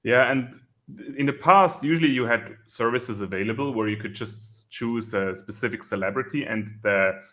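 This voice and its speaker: German-accented male co-host, lowish voice, methodical technical explainer. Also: a bit bright. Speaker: security researcher co-host.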